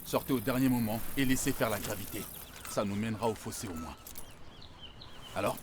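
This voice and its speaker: A man's voice: fairly low pitch, fairly quick, noisy background, close to an everyday voice. A man playing a grave, determined soldier leading a desperate mission in a war video game. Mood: focused, planning. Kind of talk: cinematic war game dialogue. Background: music.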